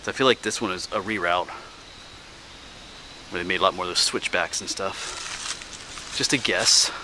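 A man's voice: sits low, moderate pace. An American man in his forties chatting casually and rambling folksily as he walks a wooded trail, speaking into a handheld camera. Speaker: hiking vlogger and teacher. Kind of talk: casual chat while walking a trail. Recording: outdoor camera audio with clear noise.

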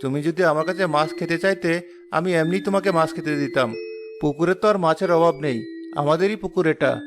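A man voicing a kind, patient young village fisher boy in a cartoon, with a gentle, reassuring manner. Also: on the high side, fast, lightly acted. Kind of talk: animated cartoon dialogue.

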